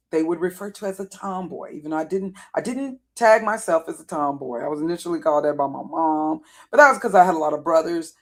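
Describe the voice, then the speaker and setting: A woman in her fifties with a deep contralto voice that sounds worn and raspy, telling personal stories to camera in a rambling, digressive way. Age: 50s. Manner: rambling and digressive, with frequent self-corrections. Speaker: vlogger. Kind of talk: casual personal storytelling to camera.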